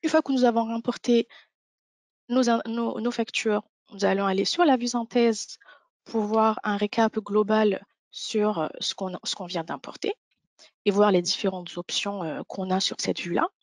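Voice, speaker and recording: A woman's voice: mid-range voice, steady tone, moderate pace, no room sound. A woman presenting an instructional webinar walkthrough, explaining each thing step by step. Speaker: software demo presenter.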